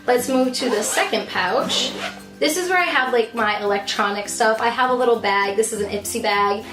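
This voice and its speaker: A woman with a slightly hoarse voice from too much talking, chatting casually and emphatically to camera as a teacher and vlogger showing her things. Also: on the high side, normal speed, roomy sound, music in the background, poor audio. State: losing voice from talking. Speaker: vlogger, fifth grade teacher. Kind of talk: casual chatty product show-and-tell to camera.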